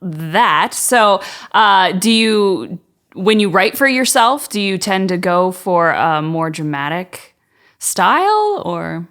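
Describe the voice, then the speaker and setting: A woman hosting a casual interview, attentive, with short reactions and halting questions. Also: on the low side, lively intonation, fairly bright tone. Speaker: podcast interviewer.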